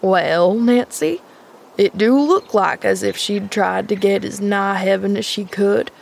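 A woman's voice: mid-range voice, unhurried, gravelly, a little hiss or hum. A woman voicing a bent old male gardener in an audiobook, homespun and speaking in rustic dialect. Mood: wryly amused. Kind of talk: audiobook character voice.

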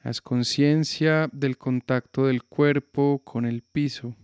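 A man guiding a yoga nidra relaxation with slow, calm, soothing instructions. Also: medium pitch, clean tone, dry recording, clean studio sound. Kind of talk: guided relaxation meditation.

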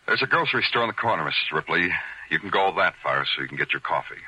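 A man's voice: low voice, big pitch swings, quick pace, sharp tone, breathy edge, exaggerated voice. A man playing a tough, sardonic police detective with a clipped, hard-boiled delivery in a 1940s radio drama. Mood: sardonic, curt. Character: tough, sardonic male police detective narrating his case. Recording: very noisy, crackly 1940s radio recording.

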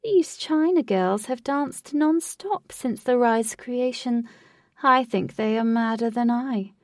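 A woman's voice: on the high side, no echo, distant mic, pitched above the performer's own voice. A woman reader puts on a crazed male showman from a sinister storybook ride. He taunts in a raised, theatrical voice and drags out his mocking phrases. The sound is dry and slightly off the microphone. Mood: gleefully sinister. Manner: theatrical, drawn-out mocking delivery.

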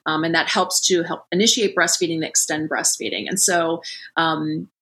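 A woman in her forties tells her story as a podcast guest. Her voice is bright and crisp, and she talks in a loose, chatty way, often restarting her sentences. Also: low voice, fairly even intonation, moderate pace. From Columbus, Ohio. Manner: chatty, frequent restarts and fillers. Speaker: guest, lactation company founder. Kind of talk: conversational podcast interview.

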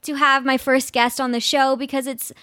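A woman's voice: sits high, flat delivery, moderate pace, sharp tone, no echo, clean studio sound. A young woman in her twenties hosting a casual solo podcast, chatty and dramatic, often laughing as she talks. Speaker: podcast host.

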